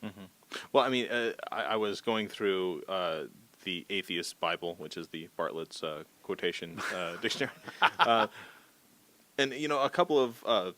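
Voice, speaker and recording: A man interviewing in a conversational way, speaking haltingly and hesitantly. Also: low voice, animated, bright, forceful tone. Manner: halting, hesitant delivery with trailing phrases.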